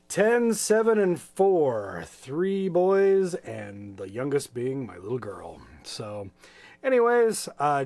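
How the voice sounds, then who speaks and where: Slow-paced, higher-voiced American man chatting casually. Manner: hesitant, rambling, friendly and informal. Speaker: volunteer storytime host for children. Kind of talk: casual livestream chat.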